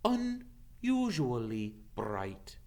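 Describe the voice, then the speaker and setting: A man's voice: mid-range voice, huge pitch swings, drawn-out words, darkish tone, heavily acted. A man voicing a sorrowful, dreamy centaur in a storybook, grave and aloof, with a solemn, sighing, cryptic way of talking. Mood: mournful, faraway.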